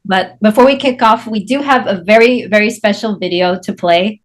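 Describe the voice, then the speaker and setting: A woman's voice: medium pitch, normal speed. A young woman informally hosting an online table read, introducing things as host. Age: young adult. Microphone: video call microphone.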